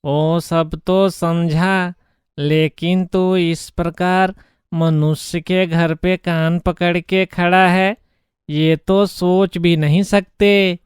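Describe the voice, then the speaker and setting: A man voicing a shrewd elder-brother ghost in a cartoon story, chiding in an incredulous, drawn-out manner. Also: sits high, level tone, clear, resonant tone, no room sound, clean studio sound. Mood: scolding. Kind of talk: Hindi cartoon story acting.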